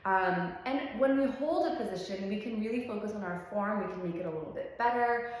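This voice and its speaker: A woman's voice: mid-range voice, expressive, a little fast, slight background noise. Echoey-sounding woman pilates instructor. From France. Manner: easygoing, frequent ums, warm enthusiasm. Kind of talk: relaxed personal introduction. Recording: very echoey room with long reverb.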